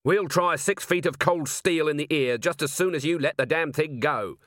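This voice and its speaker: A man voicing a brisk city watch commander in an audiobook, curt, dry and authoritative. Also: a little high, fairly bright tone, no room sound, voice pushed up, close to an everyday voice. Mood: commanding.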